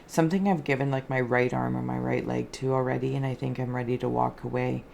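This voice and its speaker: A woman hosting an improvised spiritual card and scrying reading, soft-spoken, with a dreamy, meandering, alliterative way of talking. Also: very deep voice, steady tone, quick pace, creaky, faint music behind. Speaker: host, tarot and scrying reader.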